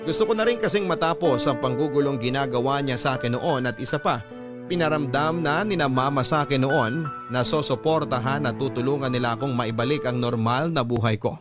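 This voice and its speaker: A Filipino man narrates a confessional letter as a radio host. His voice is fairly low, earnest and measured, with prominent music running beneath it. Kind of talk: scripted dramatic story narration. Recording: music bed under the voice.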